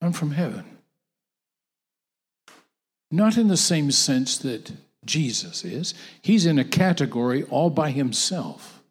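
Male preacher, slow and emphatic, slightly high voice, long hall reverb. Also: very animated, breathy edge. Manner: emphatic, repetitive, with halting restarts. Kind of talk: sermon to a conference audience.

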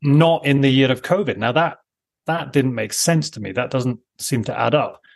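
A man around fifty with a husky voice, chatting casually in a musing, opinionated way and often interrupting himself. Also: mid-range voice, normal speed. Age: late 40s to early 50s. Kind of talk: casual conversation.

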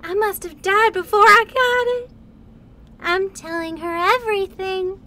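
A vengeful ghost girlfriend acted by a woman in a radio comedy. Her voice is extremely high and unhurried, taunting with sweet menace. There is a slight noisy ambience behind her. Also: bright, forceful tone, exaggerated voice. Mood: indignant. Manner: slow, drawn-out, taunting. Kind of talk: comedic radio play acting.

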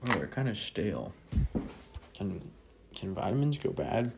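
Low, gravelly-voiced man rambling casually. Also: big pitch swings, unhurried, heavy background noise. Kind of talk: casual rambling chat, with some reading aloud from a book.

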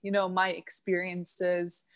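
A woman in her twenties from Egypt, a podcast guest chatting casually, pausing and searching for words as she thinks aloud. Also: on the low side, flat delivery, no room sound. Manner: thoughtful, filler-heavy, searching for words. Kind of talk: casual chat.

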